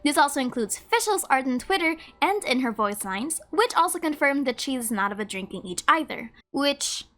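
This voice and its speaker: Female video host, high voice, animated pitch, casual scripted narration, faint music behind. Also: moderate pace, hardly any pauses.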